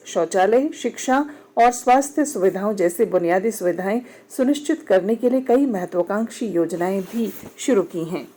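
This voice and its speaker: A woman's voice: medium pitch, warm tone. A woman with an Indian accent reading a formal, scripted radio news bulletin.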